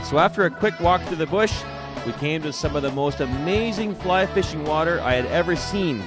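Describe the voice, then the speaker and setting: Guy doing a goofy pumped-up fishing show voiceover, kinda high voice, guitar music under it. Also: lively intonation, fairly quick. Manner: jokey, hyped, keeps calling things rugged. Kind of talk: voice-over narration.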